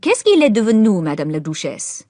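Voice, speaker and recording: A woman voicing a snobbish, middle-aged gentleman lecturer who shows off his French and his knowledge of history. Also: medium pitch, huge pitch swings, fairly quick, no room sound, studio quality, pitched above the performer's own voice. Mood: showy. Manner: affected, showing off his French.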